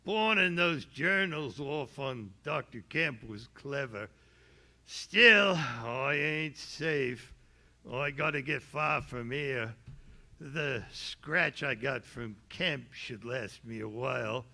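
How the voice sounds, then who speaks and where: An actor playing a scruffy, cowardly vagrant on the run in audio theater, speaking roughly and jitterily. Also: male voice, mid-range voice, expressive, slow, pushed and bright, exaggerated voice. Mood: shifty, uneasy. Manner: rough colloquial speech, jittery. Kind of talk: live audio theater performance.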